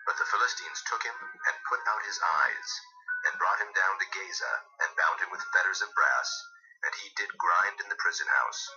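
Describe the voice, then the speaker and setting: A man narrating dramatized scripture in a solemn, measured storytelling manner. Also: low voice, sharp tone. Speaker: Bible narrator. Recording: dull lo-fi audio with music.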